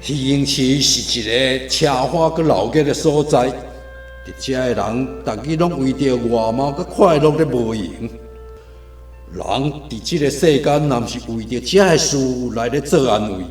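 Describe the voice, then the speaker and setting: 男演員飾演回音繚繞的世故嚮導. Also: male voice, mid-range voice, normal speed, close to an everyday voice. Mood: assured, persuasive. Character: worldly, persuasive male guide to places of pleasure. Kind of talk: Taiwanese radio drama acting. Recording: echoey voice with music bed.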